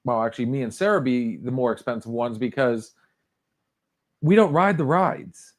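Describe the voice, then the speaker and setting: A man's voice: medium pitch, expressive, normal speed. A man in his forties co-hosting a podcast, chatting casually and rambling with frequent restarts. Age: 40s. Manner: rambling, with many restarts and fillers. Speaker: podcast co-host. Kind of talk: casual chat.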